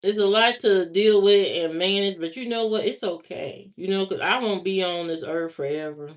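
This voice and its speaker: A woman's voice: mid-range voice, moderate pace. A woman from Indiana chatting casually on a livestream, answering viewer comments and repeating herself as she talks back to them. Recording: slightly muffled lo-fi stream audio.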